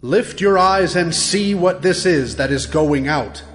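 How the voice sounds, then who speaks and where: Audio Bible angel: solemn, declaiming, raised pitch, long cathedral-like reverb over music. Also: male voice, measured pace, a bit bright. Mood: solemn, declaring. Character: solemn angel messenger of biblical visions.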